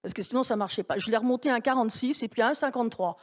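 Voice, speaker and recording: Higher-pitched woman speaking on a panel. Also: rapid-fire. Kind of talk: opinion in a live panel discussion.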